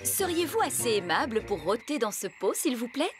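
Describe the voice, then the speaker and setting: A high, swooping voice for a bossy cartoon fairy nanny. She is prim and theatrical, brisk and formal in every phrase, with music clearly playing behind her. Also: big pitch swings. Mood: prim, impatient. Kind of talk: French cartoon dubbing.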